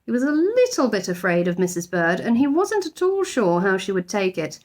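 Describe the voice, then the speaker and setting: A woman reads a children's story aloud in the expressive way of a teacher. Her voice sits at a middling pitch and moves about with very animated intonation. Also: normal speed.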